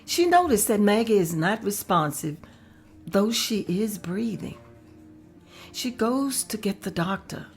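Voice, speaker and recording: A woman narrating the stage directions at a table read in a plain, steady way. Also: mid-range voice, measured pace, soft background music.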